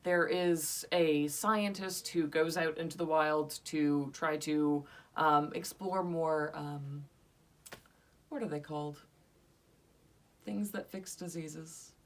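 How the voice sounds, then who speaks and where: A young woman joins a casual podcast review, hesitant and searching for words now and then. Her voice sits slightly low and sounds set back from the microphone. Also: steady tone, takes it slowly, far from the mic.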